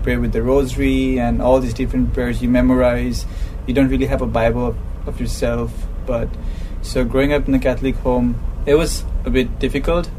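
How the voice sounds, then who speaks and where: Earnest guy from bangladesh talking on a ship, clear background noise, mid pitch. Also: warm tone, low-quality recording. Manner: earnest, thoughtful, restarts phrases. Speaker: interview guest, ship's purser. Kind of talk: personal testimony in conversation. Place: aboard a docked ship.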